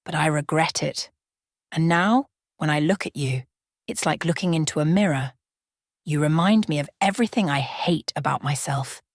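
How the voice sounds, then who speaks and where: A woman voicing an ancient, dangerous yet regretful female vampire in a bedtime story, speaking softly and gravely. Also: sits low, no echo, clean studio sound. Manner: grave, measured, softly spoken.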